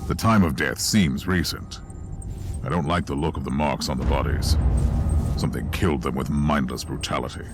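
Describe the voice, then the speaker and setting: An actor playing a grim, zealous Imperial inquisitor in a video game, speaking in solemn, pompous, deliberate declarations. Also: male voice, very deep voice, over loud music. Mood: grim, observant. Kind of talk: dramatic video game voice acting.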